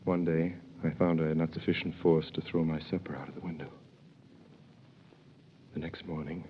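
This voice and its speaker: A man narrating an old radio drama in the first person, grave and measured. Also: extremely low pitch. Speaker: narrator playing the hero. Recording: dull old radio recording with faint hiss.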